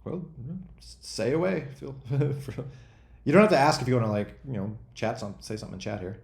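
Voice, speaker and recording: A man in his forties chatting casually as a host, rambling and thinking out loud in a self-deprecating way. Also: mid-range voice, a little fast, creaky, reverberant. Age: 40s. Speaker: host and game developer answering chat questions. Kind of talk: casual freeform chat.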